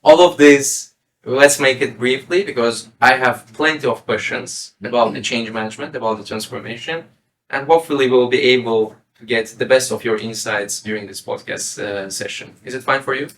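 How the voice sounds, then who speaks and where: A man's voice: medium pitch, normal speed, a bit bright, low-quality recording. Polite, formal male podcast host. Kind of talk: formal podcast interview hosting.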